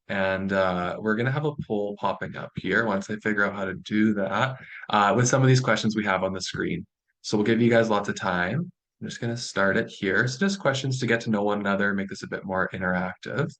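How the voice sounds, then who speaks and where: Young man, Canadian accent, lower register. Friendly, reassuring student presenter for an informal online session. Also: a bit bright. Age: young adult.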